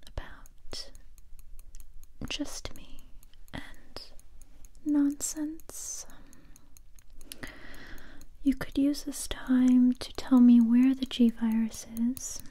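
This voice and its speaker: A determined young female survivor, acted out by a woman questions a captive in an ASMR roleplay. The voice is a soft, breathy whisper, very mellow and unhurried. Each phrase is deliberate and softly stern, delivered close to the mic. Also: high-pitched, fairly even intonation, heavily acted. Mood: hushed, stern. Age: young adult. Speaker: ASMR performer. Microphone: very close microphone.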